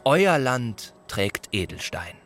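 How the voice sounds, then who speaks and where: A man declaiming old ballad verse in a radio play, stately and deliberate. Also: mid-range voice, sing-song, music in the background.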